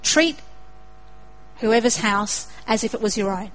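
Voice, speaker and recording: A woman's voice: on the high side, a little slow, a bit bright, rough recording. A young woman with an Australian accent preaching a sermon in an earnest way, building to emphatic repetition.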